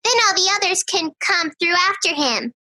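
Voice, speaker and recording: A woman playing an eager, high-pitched, bright little girl. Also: lively intonation, normal speed, exaggerated voice. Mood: eager, polite. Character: eager young girl absorbed in her game. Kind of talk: amateur audio drama acting.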